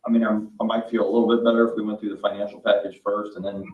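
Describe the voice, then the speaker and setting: A low-pitched man asks questions at a public meeting. His phrasing is informal and tentative, with casual filler words as he works through his point. The mic is some way off and the room rings with echo. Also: little pitch movement, very fast, far from the mic, narrow, tinny audio. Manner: tentative, conversational, often says "like" and "you know". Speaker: board member asking questions.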